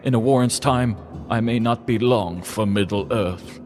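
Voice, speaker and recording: A man voicing a kindly old wizard in a comedic fantasy parody, with grand, theatrical, drawn-out phrasing. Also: mid-range voice, darkish tone, lightly acted. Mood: weary. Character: kindly old male wizard, weed dealer, grandly earnest. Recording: music playing behind.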